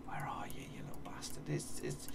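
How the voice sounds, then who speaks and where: A man's voice: brisk, in a whisper, far from the mic. A man in his thirties with an English accent, commentating on a map-guessing game as he plays, muttering place names and swearing playfully. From England. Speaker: gaming streamer. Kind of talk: casual live game commentary. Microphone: desk mic, seen in webcam corner.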